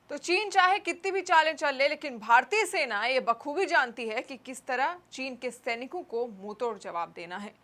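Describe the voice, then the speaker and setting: A woman's voice: fairly high pitch, bright, forceful tone. A woman presenting scripted news in a formal, emphatic delivery.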